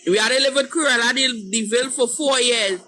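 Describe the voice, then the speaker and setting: A woman's voice: a little high, moderate pace, sharp tone. A woman, a guest on a live stream, talking bluntly and teasing the host. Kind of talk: casual live stream chat.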